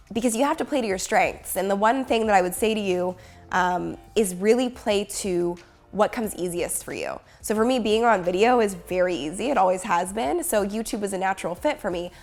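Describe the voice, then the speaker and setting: A woman in her thirties with a hoarse voice from a cold, talking upbeat and chatty straight to her viewers in a home vlog. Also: mid-range voice. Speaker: YouTuber and business coach. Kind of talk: casual talking-head vlog.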